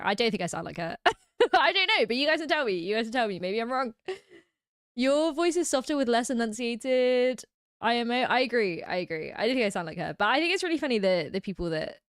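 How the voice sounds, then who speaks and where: Lively, giggly British woman streamer. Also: a little high, no echo, clean studio sound. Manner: giggly, chatty, reads comments aloud. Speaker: livestream host. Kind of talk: casual chat reacting to live comments.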